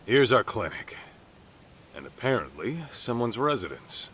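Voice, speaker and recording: An actor playing an easygoing, plain-spoken middle-aged private detective in an English video game dub. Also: male voice, mid-range voice, sing-song, a little slow, fairly bright tone, a little hiss or hum. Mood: matter-of-fact.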